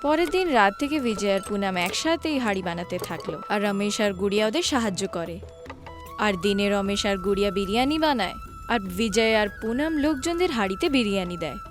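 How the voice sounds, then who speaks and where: A woman's voice: medium pitch, expressive, fairly quick, soft background music. A woman giving scripted storybook narration for a cartoon.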